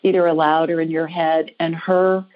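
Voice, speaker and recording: A woman's voice: deep voice, little pitch movement, normal speed. A woman with an American accent hosting a call-in psychic show, talking in a chatty, informal, reassuring way on lo-fi audio. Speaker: host, psychic call-in reader. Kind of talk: conversational call-in show readings. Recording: dull lo-fi audio.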